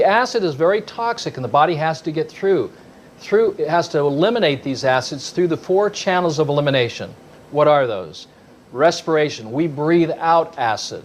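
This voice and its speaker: A man's voice: on the high side, a little hiss or hum. A man giving an explanatory health talk emphatically, asking questions and answering them himself. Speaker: health speaker.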